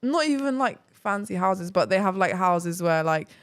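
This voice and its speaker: A woman's voice: mid-range voice, expressive, moderate pace. A young woman from England chatting casually as a podcast guest, rambling with lots of fillers and easy laughter. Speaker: travel vlogger guest.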